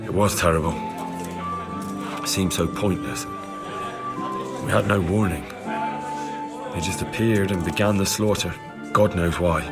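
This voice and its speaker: A man's voice: deep voice, unhurried, long pauses, over loud music. An actor playing a dogged young medieval village man in a video game, plain-spoken, earnest and insistent. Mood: grieving. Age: young adult. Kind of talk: video game cutscene acting.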